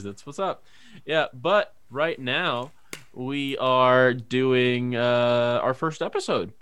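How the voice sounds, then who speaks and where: Bright-voiced young American man chatting. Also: mid-range voice. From Northern Kentucky, United States. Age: 20s. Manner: jokey, laughs often. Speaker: podcast co-host. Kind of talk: casual podcast chat.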